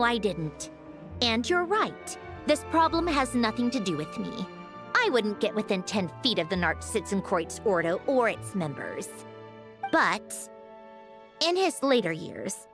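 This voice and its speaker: A woman playing a proud, aloof young woman in a video game, speaking in a dry, measured, haughty way. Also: medium pitch, vocal fry. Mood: sardonic. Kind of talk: video game character acting.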